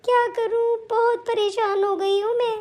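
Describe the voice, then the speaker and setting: A woman's voice: extremely high pitch, level tone, moderate pace, darkish tone. A woman voicing an affectionate, long-suffering elderly mother-in-law in a melodramatic family cartoon, with a plaintive, motherly manner. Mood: tearful, aggrieved.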